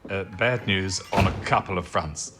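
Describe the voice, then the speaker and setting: A man's voice: sits low, moderate pace, very noisy, close to an everyday voice. A man acting a courteous, hesitant British wartime chief. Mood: grave, measured. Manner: hesitant, stammering politeness. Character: British wartime codebreaking chief, courteous, dutiful, humane official. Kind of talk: radio drama acting.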